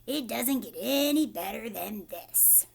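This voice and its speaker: A woman's voice: on the high side, expressive, slow pace, creaky, darkish tone, exaggerated voice. A woman voicing a small, easygoing, self-satisfied storybook pig with a drawling, laid-back manner. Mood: contented.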